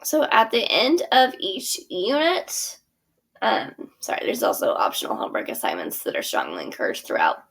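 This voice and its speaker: A woman with a gravelly edge to her voice talks viewers through her teaching materials as a voice-over on a screen share. Her pitch sits in a middle range. She keeps a friendly instructional tone, with frequent ums and quick self-corrections along the way. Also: huge pitch swings, a bit bright. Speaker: theater teacher presenting her curriculum. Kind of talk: screen-share product walkthrough.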